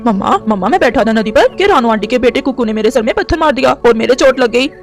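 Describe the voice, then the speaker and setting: A woman voicing a sly, quick-tempered young boy crow in a cartoon, cheeky and whining. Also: on the high side, animated, soft background music.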